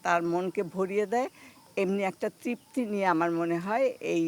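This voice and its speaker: An older woman with a Bangladeshi accent giving a reflective interview answer in poetic, halting phrases. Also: medium pitch. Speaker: actor being interviewed.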